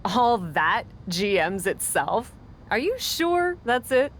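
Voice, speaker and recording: A woman's voice: medium pitch, big pitch swings, takes it slowly, slight background noise. A woman playing a cocky, sarcastic young pro wrestler in a video game cutscene. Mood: skeptical.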